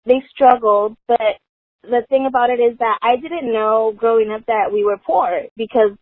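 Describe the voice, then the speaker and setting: A woman's voice: on the high side, normal speed, no echo. A woman from the Los Angeles area, a guest who tells her story in a chatty, casual way and often says "you know". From Los Angeles area, United States. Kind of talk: casual conversation. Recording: dry, phone-like narrow sound.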